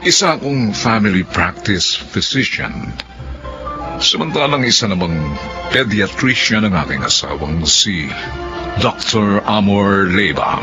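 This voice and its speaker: A man's voice: lowish voice, expressive, unhurried. A man playing a composed, businesslike family doctor in a Filipino radio drama. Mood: composed narration. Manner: composed, instructive. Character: businesslike male family doctor narrating his story. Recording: dull lo-fi audio under music.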